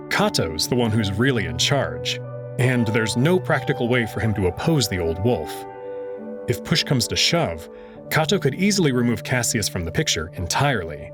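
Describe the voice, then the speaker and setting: A man narrating and commenting on a visual novel in an expressive storytelling style. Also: medium pitch. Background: music under the voice.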